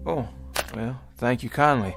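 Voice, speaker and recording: A bewildered, halting frontier pioneer man. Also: medium pitch, gravelly, over music. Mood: bewildered, polite. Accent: American. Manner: halting, stammering, folksy old-fashioned speech. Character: weary, bewildered 1800s pioneer wagon-train man, earnest, polite. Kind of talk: radio drama acting.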